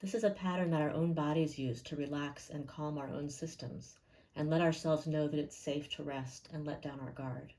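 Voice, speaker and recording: Woman, low pitch, dark relaxed tone, gentle, calm, slow guided-meditation narration with pauses. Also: low-quality recording.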